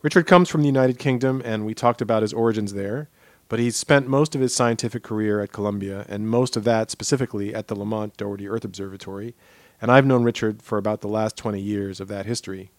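A man's voice: on the low side, steady tone, quick pace, darkish tone, dry recording. A middle-aged man hosting an interview podcast, moving between prepared introduction and conversational questions. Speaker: podcast host interviewing a guest.

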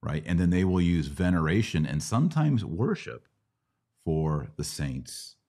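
Casting: American male podcast host, middle-aged, deep register, conversational religious teacher who checks the listener follows. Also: animated, unhurried, mellow. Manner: didactic, checks in with "right?". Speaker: podcast host answering Bible questions. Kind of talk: casual explanatory religious teaching.

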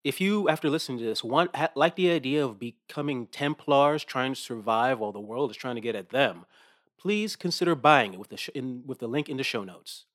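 Male podcast host, lively pitch, dry close sound, brisk intro. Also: no echo.

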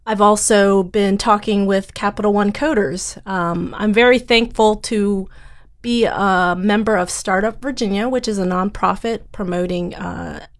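A woman's voice: mid-range voice, steady tone, measured pace. A woman startup founder answering interview questions in an earnest, step-by-step way.

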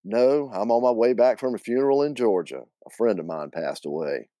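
A man's voice: fairly low pitch, expressive, fairly quick, warm tone, no echo, clean studio sound, natural voice. A man voicing an easygoing, flirty country fellow with a thick North Carolina accent, in a told story. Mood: friendly.

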